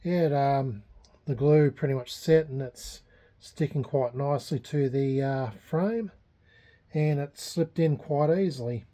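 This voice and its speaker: Australian male hobbyist vlogger, relaxed dark tone, hesitant and unscripted delivery. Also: mid-range voice. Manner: halting, hesitant, unscripted delivery with many pauses. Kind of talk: casual restoration progress vlog.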